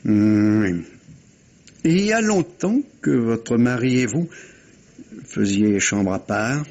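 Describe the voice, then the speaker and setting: Un acteur qui joue, à la manière d'une dramatique policière radiophonique, un inspecteur de police poli, hésitant mais tenace, à la voix voilée. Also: male voice, mid-range voice, expressive, slow pace, a little mellow. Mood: probing, measured. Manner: courteous, apologetic, hesitant with repeated words. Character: polite, persistent, hesitant male police inspector. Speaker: actor in a radio mystery play. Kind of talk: French radio mystery drama acting, 1950s manner. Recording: dull vintage radio recording.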